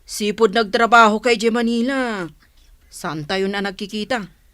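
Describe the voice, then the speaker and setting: A woman's voice: mid-range voice, steady tone, a little slow, fairly bright tone, no room sound, natural voice. A woman playing a cheerful young woman visiting friends in a radio comedy drama, chatty and teasing.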